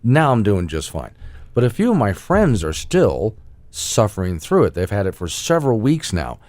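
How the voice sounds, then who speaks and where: A man's voice: lowish voice, huge pitch swings, normal speed, a little mellow, no echo. A man hosting a casual talk show, chatty and joking as he tells everyday stories. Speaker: show host.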